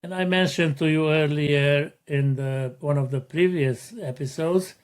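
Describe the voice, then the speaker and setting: A middle-aged man hosts his own motivational podcast, talking slowly and deliberately. His voice sits a little high for a man, and he lays out each point with earnest, unhurried care. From Romania. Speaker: podcast host.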